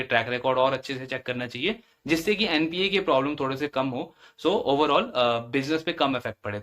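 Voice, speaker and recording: A man's voice: medium pitch, sharp tone. A man giving explanatory commentary as a financial analyst, going step by step and repeating phrases for emphasis. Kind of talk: explanatory earnings-call commentary.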